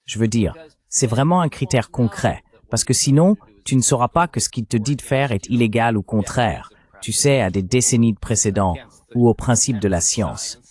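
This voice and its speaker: A man's voice: medium pitch, normal speed, darkish tone. A man's AI dubbing voice giving a psychologist's answers in an interview, as a translated voice-over.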